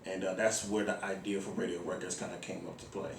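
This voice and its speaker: A man from Miami speaking casually as an interview guest, in slangy, halting phrases full of ums. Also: low voice, level tone. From Miami, Florida, United States. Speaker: interview guest, music platform founder. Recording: echoey room, distant mic, faint noise.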